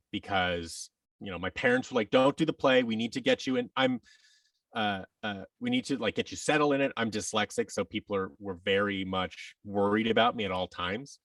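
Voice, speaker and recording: A man telling his story casually as a podcast guest, reflective and halting, often acting out bits of quoted dialogue. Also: fairly low pitch, a bit bright, no echo, recorded from a distance. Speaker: podcast guest, actor and audio drama producer.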